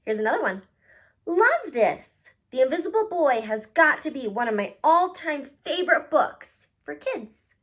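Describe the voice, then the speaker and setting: A woman reading a picture book aloud to children, expressive and cutesy, talking directly to her young listeners. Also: sits high, measured pace. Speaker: read-aloud host, storyteller for children.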